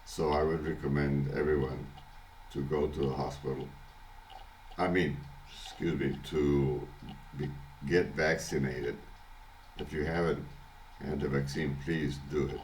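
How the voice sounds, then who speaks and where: Older man, hoarse, congested, extremely deep voice, slow halting talk, casual home video diary. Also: steady tone, darkish tone, a little hiss or hum, poor audio. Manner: halting, many restarts and fillers, chuckles often. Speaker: vlogger. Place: at home, early morning.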